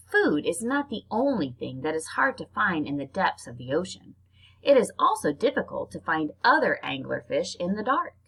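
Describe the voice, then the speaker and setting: A woman reads nonfiction aloud in an expressive, informal way, like reading a book to children. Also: lowish voice, vocal fry, husky, rough recording. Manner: expressive, informal reactions between passages. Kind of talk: read-aloud narration with casual spoken asides.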